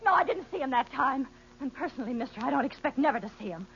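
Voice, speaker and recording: Brash high-voiced girl hitchhiker, old radio play. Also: animated, fast talker, creaky, crowd noise. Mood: alarmed, firm. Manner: slangy, sassy, blunt. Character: brash, wisecracking young female hitchhiker, world-weary. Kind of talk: 1940s radio acting. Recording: muffled 1940s radio recording, faint noise.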